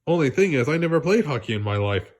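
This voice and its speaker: A man voicing an eager, earnest young sea sponge in an excitable, emphatic storybook character voice. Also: on the high side, fast talker, pitched above the performer's own voice. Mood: friendly.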